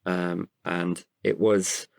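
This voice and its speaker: A man with a deep voice that often drops into fry. He speaks in a reflective, personal interview style, breaking sentences with pauses as he goes. The recording is close and dry. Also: little pitch movement, a little mellow, dry recording. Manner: halting, pausing mid-sentence. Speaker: actor and guest writer.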